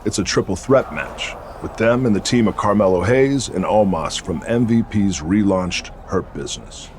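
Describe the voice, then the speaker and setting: An actor playing a stern, commanding veteran wrestler who leads a faction in a video game, talking curtly and with authority. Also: male voice, on the low side, normal speed, a little mellow, slight background noise, natural voice. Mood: confident, commanding. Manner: curt and authoritative. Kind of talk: scripted video game cutscene acting.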